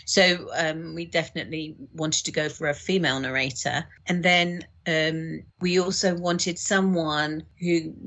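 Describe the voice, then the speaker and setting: Female podcast guest, slightly low voice, casual and explanatory, production professional. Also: fairly even intonation, a little slow, hardly any pauses, fairly bright tone. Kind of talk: casual explanatory interview talk.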